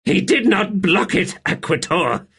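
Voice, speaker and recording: Brief: a battered, dry-humored soldier, read by a man, voice pushed higher than the reader's own. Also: animated, slow, fairly bright tone, husky, exaggerated voice. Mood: gasping. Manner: dry, sardonic, urgent when gasping. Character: weary, wry male soldier, rough and dry-humored. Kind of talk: audiobook character voice.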